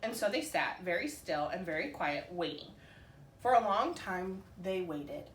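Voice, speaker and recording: A woman reading a children's story aloud as expressive narration for story time. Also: fairly low pitch, a little slow, recorded from a distance. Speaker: storytime reader. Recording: roomy echo with faint background noise.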